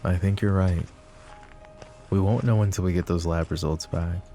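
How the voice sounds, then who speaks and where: A man playing a possessive young adult mafia boss in an ASMR roleplay, speaking low and measured to an unheard listener. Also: fairly even intonation, warm tone, soft background music. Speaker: voice actor.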